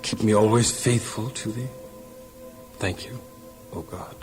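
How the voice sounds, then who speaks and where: An actor playing a patient, devout male prisoner, speaking gently and earnestly in a children's audio drama. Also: fairly low pitch, a little slow, lightly acted. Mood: prayerful. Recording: music underneath.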